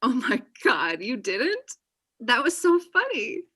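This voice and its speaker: An actress plays an overexcited, talkative young woman in a comedy read. Her voice is high and very bright. She gushes with animated energy over a video call. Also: slow, breathy edge, full character voice. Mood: defensive, giddy. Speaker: actor in a table read. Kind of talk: acted comedy table read. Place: small indoor room on a video call. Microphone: computer mic on video call.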